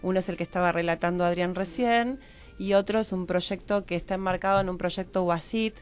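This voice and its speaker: A slightly low female voice with a Rioplatense Argentine accent. She gives explanatory answers as an interview guest, circling back and rephrasing as she goes. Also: fairly even intonation, a little fast, soft background music. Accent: Rioplatense Argentine Spanish. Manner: restarts and rephrases often. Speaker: guest researcher. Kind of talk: explanatory radio interview talk. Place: radio studio table.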